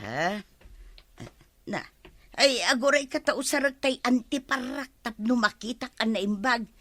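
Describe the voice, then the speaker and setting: A fussy old village woman, high and very animated. She questions everything querulously, in bright radio-comedy style. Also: a little slow, no echo. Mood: puzzled. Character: fussy, skeptical older village woman with weak eyesight. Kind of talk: comedy radio drama acting.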